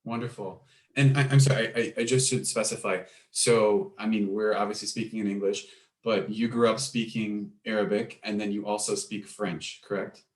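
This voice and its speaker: Deep-voiced man interviewing in an echoey room. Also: fairly even intonation, moderate pace, a little mellow. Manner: apologetic, curious, repeats himself while questioning. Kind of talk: conversational interview.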